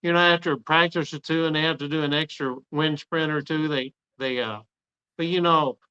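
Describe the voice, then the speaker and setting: Bright, smooth-voiced man on a dull mic. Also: fairly high pitch, fairly even intonation, a little fast. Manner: tells stories, acting out his own quotes. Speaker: podcast host, former assistant coach. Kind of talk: casual interview talk.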